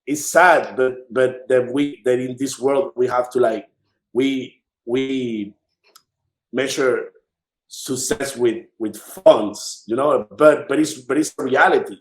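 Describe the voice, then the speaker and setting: A man from the Dominican Republic with a Dominican Spanish accent, chatting casually as an interview guest, haltingly repeating words as he searches for them. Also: mid-range voice, fairly even intonation, reverberant, poor audio. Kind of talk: casual conversation.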